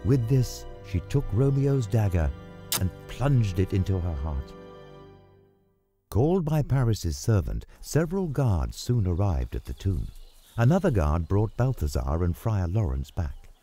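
Low-voiced, expressive male storyteller. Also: a little slow, breathy edge. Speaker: narrator. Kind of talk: dramatic scripted storytelling. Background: music.

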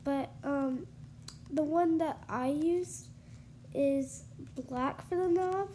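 A young woman talks through her game build in a high, stuffy voice, congested from allergies. She takes her time, trailing off with ums and likes. Steady noise hums under her voice. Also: slow. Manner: chatty, hesitant, lots of ums and likes. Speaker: gaming YouTuber. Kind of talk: casual game-build tour voice-over.

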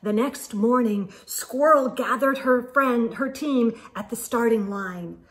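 A middle-aged woman reading a picture book aloud to young children, expressively, with dramatic pauses and exclaimed asides. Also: on the high side. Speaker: children's storytime host. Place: small indoor room with bookshelves.

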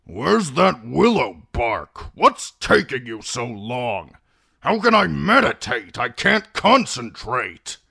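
A man voicing a grouchy, proud old man of a clan in a storybook, with a creaky, grumbling delivery. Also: mid-range voice, big pitch swings, slow, bright, forceful tone, no room sound, clean studio sound, pitched above the performer's own voice, full character voice. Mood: grumbling, impatient. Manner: grumbling, impatient, snapping.

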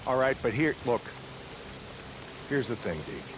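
An older American man playing a weary, peace-minded leader of a survivor camp in a game cutscene, speaking plainly and haltingly. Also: medium pitch, expressive, background noise, close to an everyday voice. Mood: earnest, firm. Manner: halting, reflective, plain-spoken. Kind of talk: dramatic video game cutscene acting.

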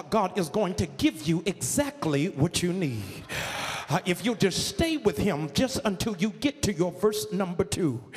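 High-voiced preacher in a ringing church. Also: male voice. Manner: builds from measured teaching to shouted, sung preaching. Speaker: pastor. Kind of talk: sermon. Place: large church sanctuary with a congregation. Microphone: handheld mic. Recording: long reverb of a church hall.

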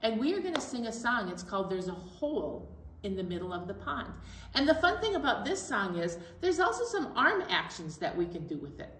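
A woman's voice: a little high, sing-song, brisk, a bit bright. A middle-aged woman leading an activity for young children, often checking in with them by asking questions. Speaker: children's storytime host. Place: indoor library storytime room. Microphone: camera several feet away. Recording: echoey room, distant microphone.

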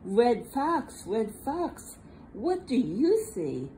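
A woman reading a picture book aloud to young children in an expressive, sing-song manner, recorded indoors at a table. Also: a little high, very slow, rough recording. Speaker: children's storybook reader. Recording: faint background room noise.

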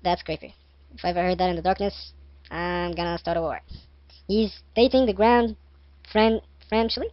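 A man's voice: high voice, fairly even intonation, normal speed, dry recording, highs cut off. Egyptian-accented young man reading a text game. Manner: chatty, laughs at himself, reads narration with dramatic flair. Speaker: gaming video host. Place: bedroom. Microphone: headset.

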